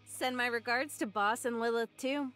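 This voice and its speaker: A woman's voice: on the high side, fairly even intonation, normal speed, fairly bright tone, natural voice. A woman playing a brash, easygoing young woman fighter in a video game cutscene, with casual, wry, slangy delivery. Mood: teasing. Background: music.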